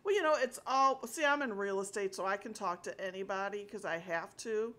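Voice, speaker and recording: An older woman chatting casually on a call from a small home office, friendly and quick to laugh. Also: mid-range voice, a bit bright, slight background noise. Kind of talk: casual phone chat.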